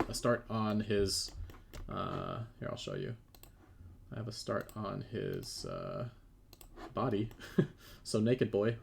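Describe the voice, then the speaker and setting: Deep gravelly guy casually showing stuff on a dev stream, bit hesitant, faint noise and typing behind him. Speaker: game developer and 3D modeller presenting. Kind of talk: casual live stream chat while demonstrating.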